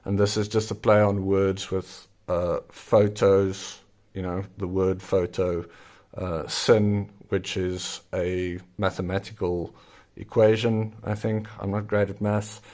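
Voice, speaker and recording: A deep-voiced South African man, a native Afrikaans speaker, talks through a craft tutorial. He speaks slowly and loosely, often pausing on fillers as he thinks. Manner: relaxed, hesitant with many ums and uhs. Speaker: zine maker. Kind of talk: casual tutorial.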